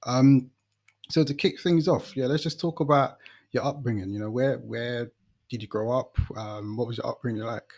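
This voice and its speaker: A man's voice: mid-range voice, moderate pace, darkish tone. A man from East London with a London accent, hosting an interview podcast in an informal, conversational way. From East London, England. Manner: informal, conversational, uses slang. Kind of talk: casual interview chat and podcast introduction.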